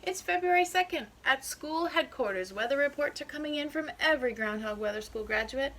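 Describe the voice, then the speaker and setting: A woman voicing an excited storybook groundhog operator who takes weather reports with brisk, perky announcing. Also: high voice, noisy background, voice pushed up.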